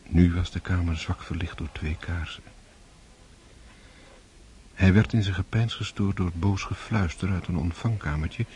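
A man's voice: sits low, a little mellow, slight background noise. A man narrating a literary radio drama from a script.

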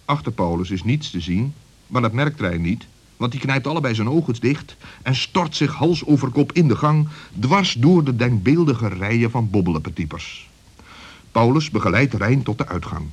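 A man narrating a scripted children's story as storyteller, in a vivid, expressive and dramatic way. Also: medium pitch, moderate pace, breathy edge.

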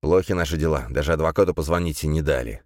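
A man's voice: very deep voice, fast talker, a little mellow, dry recording, clean studio sound. A man playing a cornered, businesslike grave-robber who persuades urgently, as in an audiobook. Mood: urgent, bargaining.

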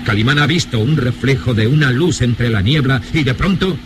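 A man's voice: medium pitch, normal speed, noisy background. A man narrating a radio serial in a suspenseful, theatrical style.

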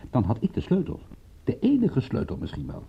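A man playing a cynical, excitable private detective in a radio drama, speaking in a wry, emphatic, husky voice. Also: mid-range voice, brisk, a little hiss or hum. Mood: agitated, insistent. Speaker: detective and narrator. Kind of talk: radio drama acting and narration. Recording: dull, muffled old radio recording.

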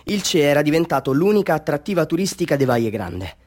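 An actor playing a bitter, half-mad village man telling his tale in a theatrical radio drama monologue, swinging between hushed confiding and fervent declaiming. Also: a little high, big pitch swings, quick pace. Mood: indignant, challenging.

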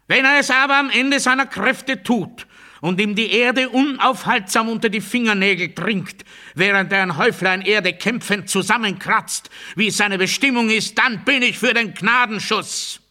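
Ein Schauspieler, der in einem Hörspielmonolog einen fanatischen militaristischen Redner spielt, pompös und nachdrücklich deklamierend. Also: male voice, high voice, full character voice. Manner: pompous, emphatic, swinging from hushed to strident. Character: fanatical militaristic male orator declaiming rigid dogma. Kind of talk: German radio play monologue, oratorical declamation.

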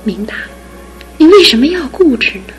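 An actress in a radio drama playing a devoted young fiancée who speaks earnestly and pleadingly, with steady resolve. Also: female voice, high voice, normal speed, soft background music. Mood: resolute. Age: young adult. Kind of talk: broadcast radio drama acting.

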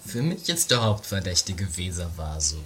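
A man's voice: low-pitched, big pitch swings, normal speed, fairly bright tone, a little hiss or hum. A man playing a dim, sulky, contrary police sergeant with plodding delivery in an amateur comic radio play. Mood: sullen, deliberating.

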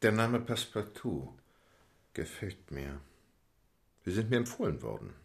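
An actor playing an aloof, exacting English gentleman in a radio drama, clipped, formal and imperious. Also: lowish voice, sing-song, measured pace, vocal fry, exaggerated voice. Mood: exacting, businesslike.

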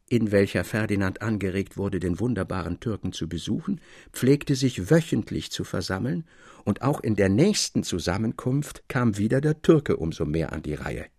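Gravelly male literary narrator. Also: fairly low pitch, a little mellow, no echo, clean studio sound. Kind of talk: literary story reading. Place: dry studio.

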